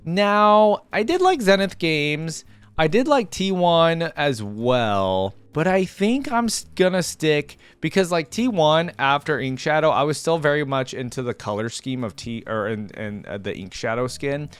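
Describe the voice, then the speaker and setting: A man's voice: fairly high pitch, animated, a little slow, smooth, no room sound. A man giving casual, informal reaction commentary, rambling along as he talks straight to his viewers. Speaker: reaction video host.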